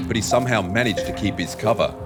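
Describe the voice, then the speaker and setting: A man's voice: on the low side, fast talker, loud music behind the voice. A man narrating scripted fiction for an audio drama in a dramatic storytelling style.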